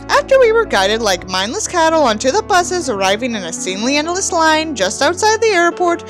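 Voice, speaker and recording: A woman's voice: on the high side, a bit bright. A woman giving a dramatic reading with theatrical, mocking delivery and sardonic asides. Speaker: host reading a book aloud with mocking commentary.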